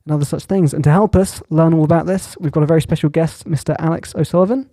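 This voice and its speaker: A man hosting a podcast in a dry, close recording. His voice is a little higher than average, gravelly and mellow. He asks questions with a friendly, halting manner. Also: steady tone, no echo. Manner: friendly, hesitant with many ums. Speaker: podcast host. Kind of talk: casual podcast interview.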